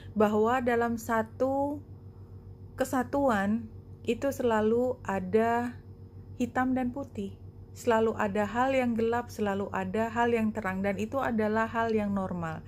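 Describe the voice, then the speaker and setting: A woman giving a spoken card reading to viewers, explaining reflectively in short phrases with pauses. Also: a little high, fairly even intonation, a little mellow, a little hiss or hum. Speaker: tarot and oracle card reader.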